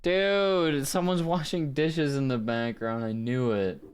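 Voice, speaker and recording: A man with a mid-pitched voice chats casually as a streaming voice actor. His talk is informal and unscripted, peppered with swearing. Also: animated, unhurried, darkish tone, smooth. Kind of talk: casual livestream chat.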